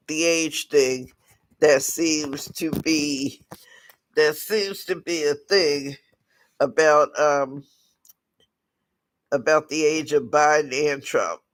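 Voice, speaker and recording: A raspy-voiced man from the United States, hosting a casual livestream talk and speaking in a halting way with many restarts. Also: a little high, fairly bright tone, no room sound, rough recording. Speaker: channel host.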